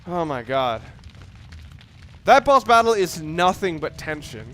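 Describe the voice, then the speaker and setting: A man's voice: a little high, very animated, a little slow, a bit bright. A man giving casual, analytical video game commentary, rambling and correcting himself as he goes. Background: video game sounds.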